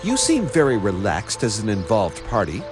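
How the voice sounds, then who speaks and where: A man playing a gruff, brooding young senior who secretly cares, blunt and intense, in a fantasy game. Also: on the low side, wildly swooping pitch, normal speed, music in the background. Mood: determined. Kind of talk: dubbed fantasy game cutscene acting.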